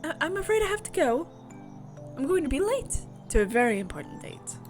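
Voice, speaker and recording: A woman voicing an anxious, polite rabbit courtier in a visual novel, fretful and hurried. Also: high voice, very animated, faint music behind, voice pushed up. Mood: flustered, hurried.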